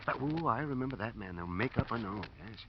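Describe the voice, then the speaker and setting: An American actor playing a fussy, self-assured and obliging office cashier in a 1950s radio drama, chatty and politely self-important. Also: male voice, lowish voice, animated, fast talker, lightly acted. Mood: eager, obliging. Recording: muffled old radio recording, faint hiss.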